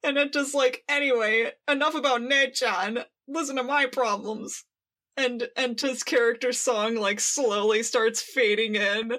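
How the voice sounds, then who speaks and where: A woman co-hosting a casual fan podcast, laughing as she talks and excitedly acting out the lines she quotes. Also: on the high side, measured pace. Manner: giggly, laughs while talking. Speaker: podcast co-host.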